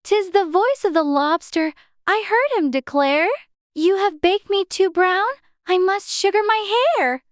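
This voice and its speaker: Very high, prim little girl. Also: expressive, measured pace, dry recording, studio quality. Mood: reciting. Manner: prim, wondering. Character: young girl, polite, curious and a little timid.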